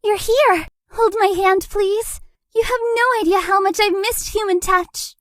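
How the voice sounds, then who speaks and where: A woman voicing a bubbly, shy, lovesick ghost girl in a dating game, with a girlish, breathy, cutesy voice. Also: a little slow, dry recording, clean studio sound. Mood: needy, pleading. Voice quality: very high, girlish voice. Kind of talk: anime-style dating game character lines.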